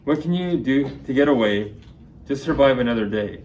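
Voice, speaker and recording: A man's voice: mid-range voice, expressive, moderate pace, a little mellow. Man reciting rhyming poetry, faint background noise. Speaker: amateur poet. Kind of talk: reciting rhyming spoken-word poetry at a reading.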